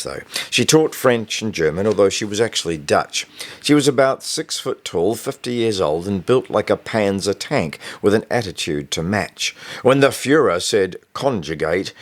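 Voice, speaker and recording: A man reading a novel excerpt as scripted audiobook narration, in the manner of a storyteller telling a first-person school tale. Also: low voice.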